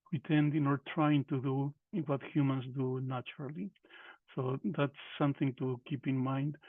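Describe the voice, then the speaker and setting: A man's voice: medium pitch, gravelly, no echo. A middle-aged man from Peru, presenting a workshop over a video call, hesitant, with many fillers and restarts. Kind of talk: presenting a webinar lecture. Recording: tinny phone-like call audio.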